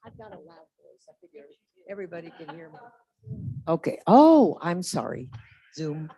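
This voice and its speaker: A woman's voice: fairly low pitch, very animated, normal speed, warm tone, slightly rough, muffled recording. A woman resident asking a question at a community meeting, chatty and wandering, correcting herself as she goes.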